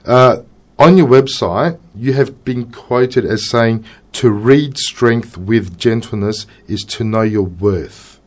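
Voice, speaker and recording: A man's voice: medium pitch, slow. Aussie radio guy, super polite and gushing, studio sound. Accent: Australian. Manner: courtly and effusive. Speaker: radio host. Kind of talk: radio interview.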